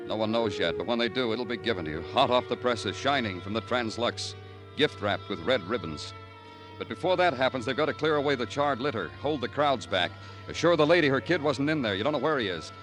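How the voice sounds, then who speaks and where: An actor playing a brooding New York homicide detective in a 1950 radio crime drama, terse and wryly poetic. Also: male voice, on the low side, natural voice.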